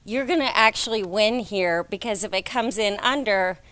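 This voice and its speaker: A woman real estate agent speaking up in a meeting, loud and conversational with lots of "like". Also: mid-range voice, normal speed. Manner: loud-voiced, conversational, frequent "like". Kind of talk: meeting discussion.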